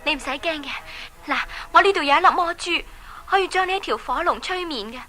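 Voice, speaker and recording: High, bright young princess. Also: female voice, fast. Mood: reassuring. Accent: Hong Kong Cantonese. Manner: expressive, urging, exclamatory. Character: plucky, caring young princess. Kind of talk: 1980s children's radio drama acting.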